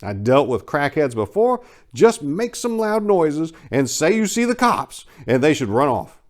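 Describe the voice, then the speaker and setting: A man giving a dramatic first-person reading of a short story in a wry, sardonic and expressive manner. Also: fairly high pitch, measured pace. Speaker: story narrator.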